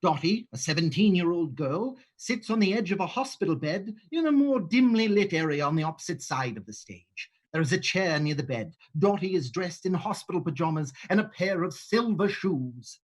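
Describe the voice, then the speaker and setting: A man's voice: high voice, very animated, normal speed, hardly any pauses, breathy edge. A man narrating the cast list and stage directions of a play reading, with dry, sarcastic asides. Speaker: narrator and playwright. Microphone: home microphone, livestream.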